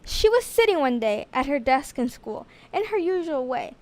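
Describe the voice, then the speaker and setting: High-voiced woman reading a children's story. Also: sing-song, normal speed, darkish tone. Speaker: storybook reader. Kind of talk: expressive children's rhyming story narration.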